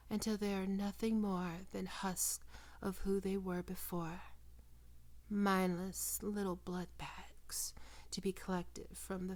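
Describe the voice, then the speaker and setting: Woman playing a slow, whispery, possessive vampire doctor. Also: medium pitch, a little mellow. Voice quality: breathy, often whispering. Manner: coaxing, condescending, sensual, lingering over words. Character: possessive, predatory female vampire doctor soothing her prey. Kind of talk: ASMR roleplay, intimate and slow.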